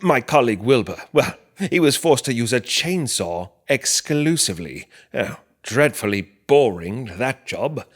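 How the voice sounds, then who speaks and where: Fussy slasher, swooping delivery. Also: male voice, medium pitch, big pitch swings, a little slow, few pauses. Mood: blithely polite. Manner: genteel, wordy, blithely matter-of-fact. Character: polite, chatty, fussy supernatural slasher killer on tea break. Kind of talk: comedy horror audio play acting.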